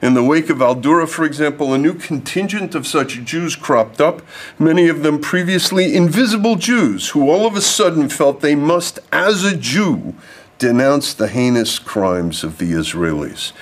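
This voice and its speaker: A man's voice: fairly high pitch, animated, normal speed. A man delivering a prepared lecture to an audience, reading formal text and adding off-script asides. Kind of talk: prepared speech read to an audience.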